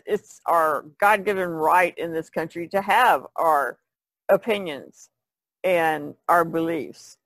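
A woman's voice: sits low, creaky, a bit bright, dry recording. A woman in a casual podcast discussion, plain-spoken and colloquial in the way she talks. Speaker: podcast co-host.

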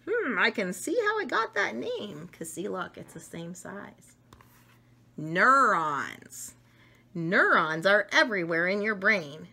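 Woman, middle-aged, animated pitch swings, unhurried pace, children's storybook read-aloud, expressive. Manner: expressive, acting out emotions and small asides. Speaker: storybook reader. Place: room at home with wooden cabinets.

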